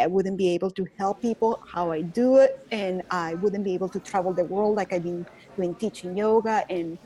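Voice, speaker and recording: A woman's voice: mid-range voice, warm tone, low-quality recording. Venezuelan-accented woman, earnest guest. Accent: Venezuelan Spanish. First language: Spanish. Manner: earnest, reflective, with restarts and laughs. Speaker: guest, yoga teacher. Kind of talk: casual interview.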